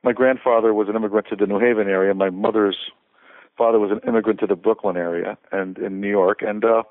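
A man's voice: on the low side, steady tone, fast talker, no echo. An older man from Connecticut, a conductor and composer, talking casually as an interview guest, rambling with many restarts and fillers. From Connecticut, USA. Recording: tinny phone-like line.

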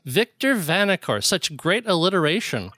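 Bright-voiced male interview host. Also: sits high, very animated, dry recording. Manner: friendly, quick to laugh, short questions. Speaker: podcast host. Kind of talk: casual interview hosting.

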